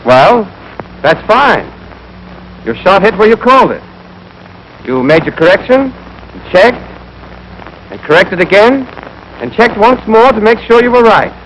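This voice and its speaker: A man's voice: high-pitched, big pitch swings, unhurried, pauses often, a bit bright. A young American man giving scripted, clipped, step-by-step marksmanship instruction as an army instructor in a 1940s training film. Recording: noisy 1940s film soundtrack.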